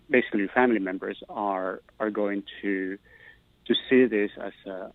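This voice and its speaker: Middle-aged man, low-pitched, earnest and searching, phone-thin dry audio. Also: no room sound, phone-quality sound. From Peru. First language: Spanish. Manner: earnest, thoughtful, restarts words while searching. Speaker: guest, Spanish professor. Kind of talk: recorded interview answers.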